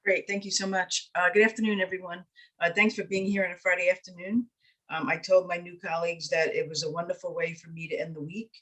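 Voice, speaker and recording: A woman's voice: fairly low pitch, flat delivery, fast, low-quality recording. New lady, fifties, chatty panel intro on a video call, lots of ums. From New York City, Puerto Rican heritage. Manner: warm, personal, with frequent "um". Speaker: university provost, panelist.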